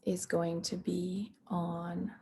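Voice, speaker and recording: A woman leading a guided meditation in a hushed, soothing, unhurried manner, with long pauses between phrases and a soft, breathy voice. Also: fairly low pitch, level tone, a little hiss or hum.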